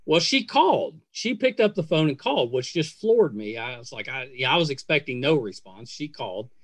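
A man's voice: mid-range voice, sing-song, normal speed, fairly bright tone, dry recording. Effusive male podcast host, dry close sound. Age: middle-aged. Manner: effusive, full of praise. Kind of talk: casual conversational interview.

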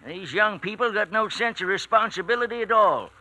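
Cranky old man in 40s radio play, high sharp voice, folksy complaining. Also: expressive, a little fast, heavily acted. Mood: indignant grumbling. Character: grumbling elderly plant worker, indignant about young people. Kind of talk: 1940s radio acting. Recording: vintage 1940s radio broadcast.

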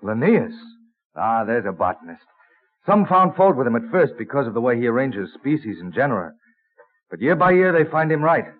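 A man plays a colonial plant collector in an old radio play. He is plain-spoken, modest and enthusiastic, with lively swings of intonation. The sound is narrow and muffled. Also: medium pitch, normal speed, natural voice. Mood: admiring. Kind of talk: vintage radio drama acting.

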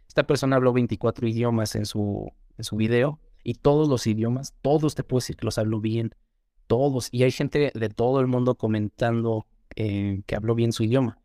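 A man's voice: mid-range voice, mellow, no room sound, clean studio sound. A young Mexican man with a Mexican accent, a guest talking earnestly and motivationally in a casual interview, often repeating words as he gathers his thoughts. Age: young adult.

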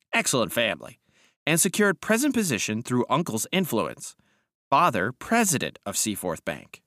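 A man's voice: fairly bright tone, no room sound, studio quality. A man voicing a sinister, complacent little man in an audiobook story, precise and coolly commanding. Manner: raised pitch, precise, complacent. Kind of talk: dramatic audiobook character voice.

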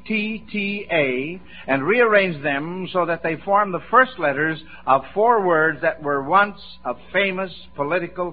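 An American man hosting a 1940s radio quiz show, folksy and encouraging, with chuckles in his talk. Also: high-pitched. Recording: dull vintage radio broadcast recording.

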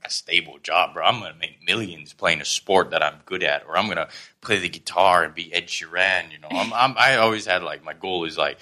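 A young man from Thailand, a podcast guest chatting casually and telling lively stories with acted-out quotes. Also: sits low, a little fast. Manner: animated storyteller, acts out quoted dialogue. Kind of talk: casual chat.

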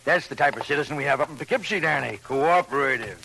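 A brash provincial policeman in an old radio crime play. His voice is forceful and a bit high, always needling and bragging with mocking familiarity. The recording has faint vintage noise. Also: lively intonation, quick pace, creaky, heavily acted. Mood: boastful, needling. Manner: mocking, over-familiar, prodding. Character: brash, needling, boastful small-city policeman. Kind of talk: 1950s radio crime drama acting.

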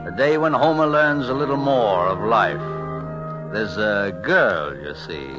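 Folksy storyteller over music. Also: male voice, fairly low pitch, lively intonation, muffled recording. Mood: gentle, wry. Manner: conversational, wry, unhurried asides. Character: gentle, folksy male storyteller watching over a boy. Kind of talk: 1940s radio drama narration. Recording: music under old radio narration.

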